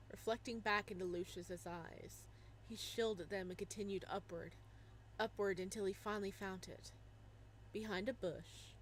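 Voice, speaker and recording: A young woman narrates a visual novel at a middle pitch, then bursts into animated, laughing asides about what she sees. Her reading is casual and friendly, switching freely between the story text and her own excited comments. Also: slight background noise, rough recording.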